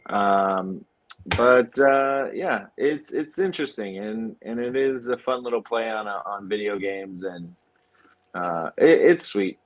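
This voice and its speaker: Halting man hosting a casual film chat. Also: fairly low pitch. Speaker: film podcast host.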